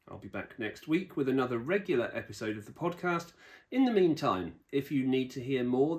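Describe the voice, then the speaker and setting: A man hosting a podcast, interviewing a guest and addressing listeners. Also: medium pitch. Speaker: podcast host.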